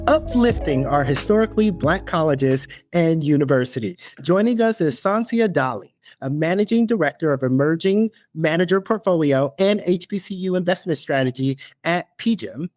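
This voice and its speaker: Give me an enthusiastic male podcast host with a lively pitch and a dry sound. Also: normal speed, dry recording, faint music behind. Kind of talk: podcast interview.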